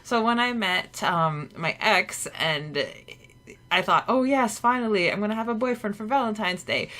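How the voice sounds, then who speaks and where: A woman's voice: medium pitch, normal speed, bright, forceful tone. A woman co-hosting a fan podcast from a friend's home, chatting casually with fillers and laughter. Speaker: podcast co-host. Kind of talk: casual chat.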